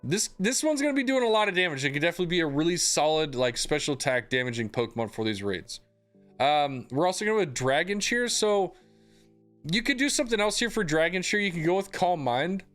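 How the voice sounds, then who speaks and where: A young man, a gaming YouTuber, giving a casual game guide in an upbeat, chatty way with lots of fillers, his voice slightly hoarse. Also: fairly high pitch, animated, fast talker, fairly bright tone, soft background music.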